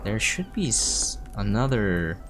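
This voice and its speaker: A man's voice: fairly low pitch, very animated, slow. A man giving casual gameplay commentary, thinking aloud and trailing off, with game music behind him. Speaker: game streamer. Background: video game music.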